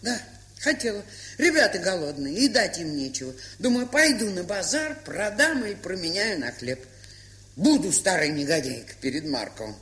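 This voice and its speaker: An actress playing a gruff, stubborn but kind-hearted old aunt in a radio play, with an aged, husky, folksy, grumbling way of talking. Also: female voice, lowish voice, sing-song, moderate pace, a bit bright. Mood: regretful. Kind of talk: Soviet radio play acting.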